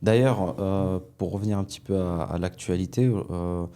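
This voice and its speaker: A man hosting a podcast, asking casual interview questions in a soft-spoken way with many brief affirmations. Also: low voice, moderate pace. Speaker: podcast host.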